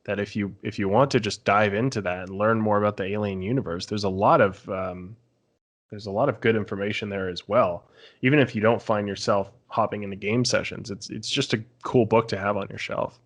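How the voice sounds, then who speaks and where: Low-ish male voice, casual podcast talk. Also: steady tone, fairly quick.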